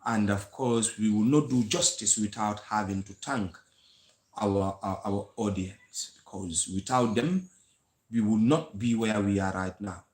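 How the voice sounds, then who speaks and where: A man from the Gambia with a Gambian English accent, hosting a live history talk in an earnest lecturing way, with frequent pauses and restarts. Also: on the low side, a little mellow. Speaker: host of a history channel. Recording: echoey room sound.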